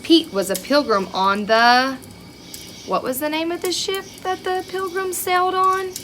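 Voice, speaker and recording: A woman reading a picture book aloud to young children in an expressive way, with chatty asides and questions to her listeners. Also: very high voice, unhurried, slight background noise. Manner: expressive, child-directed, with rhetorical questions and exclamations.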